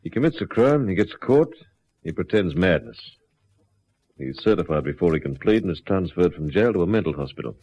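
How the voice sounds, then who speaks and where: A knowing local policeman from a radio play. His voice is deep and his manner wary and laconic. The sound is dull and lo-fi. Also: animated, husky, heavily acted. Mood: wary, certain. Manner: measured, laconic. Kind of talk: radio drama acting.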